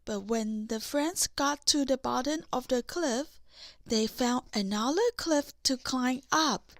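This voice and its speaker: A woman narrating a scripted children's storybook in deliberate, separate phrases. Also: sits high, no echo, studio quality. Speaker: audiobook narrator.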